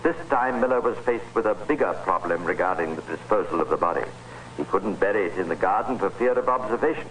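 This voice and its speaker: An actor playing the grave, measured narrator of a crime tale in an old-time radio drama. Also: male voice, sits low, expressive, a bit bright, low-quality recording. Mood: grave, ominous. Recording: hissy vintage radio recording.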